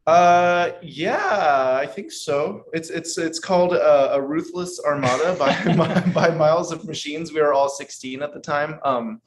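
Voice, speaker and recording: A man's voice: a little high, unhurried, few pauses. A man in his twenties from Portland, a band's guitarist, chatting easygoingly on a video call with many filler words. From Portland, Oregon. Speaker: guitarist in a band, guest. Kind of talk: casual interview chat.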